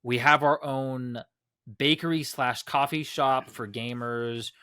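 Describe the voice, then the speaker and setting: A man's voice: medium pitch, steady tone, slow, sharp tone, smooth, dry recording, clean studio sound. A man hosting a casual group podcast, rambling with many fillers and restarts. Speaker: podcast host. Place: Los Angeles.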